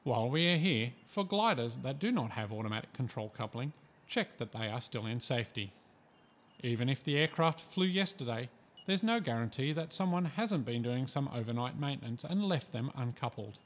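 A man from Australia reading a scripted training voice-over in a calm, measured, instructive way. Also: medium pitch. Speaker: instructional narrator.

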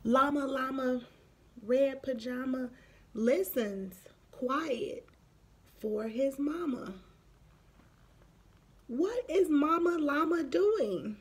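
A woman in her thirties reading a picture book aloud expressively for young children, pausing for explanatory asides. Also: sits high, animated. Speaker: children's story reader. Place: small room at home, indoors.

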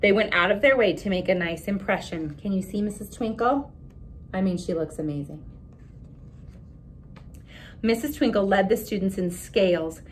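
A woman in her thirties reads a storybook aloud to children in an animated, teacherly way at a middling pitch. She breaks off for casual questions. There is background noise and a low rumble on the recording. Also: normal speed, long pauses. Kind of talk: expressive picture-book read-aloud with casual asides.

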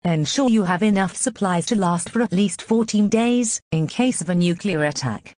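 A woman's synthetic voice reading scripted emergency announcements in a measured, impersonal way. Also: mid-range voice, darkish tone, no echo, studio quality.

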